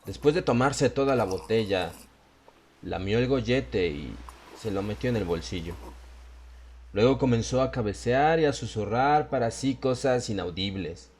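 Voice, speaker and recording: A man's voice: medium pitch, animated, normal speed, a little mellow, slight background noise. A man reading scripted horror fiction as an audiobook narrator.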